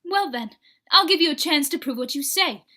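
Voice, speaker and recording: Sharp, high-voiced jealous wife played by a woman. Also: lively intonation, normal speed. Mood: accusing, commanding. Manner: sharp, imperious, accusatory. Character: jealous, bitter bedridden invalid wife, stern and accusing. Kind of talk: 1940s radio drama acting.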